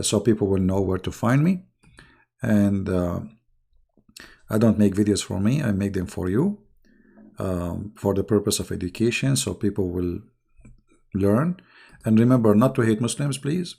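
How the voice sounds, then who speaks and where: Hoarse, gravelly man mocking his opponents. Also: lowish voice. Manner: mocking, scornful, with sudden loud rises. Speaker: YouTube channel host. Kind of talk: casual polemical monologue to viewers.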